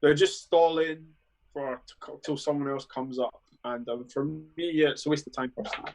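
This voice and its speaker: A man's voice: a little high, fairly even intonation, normal speed, a little hiss or hum, low-quality recording. A man in his thirties with a London accent, giving blunt, dismissive opinions in a casual panel chat over a video call. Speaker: guest panelist.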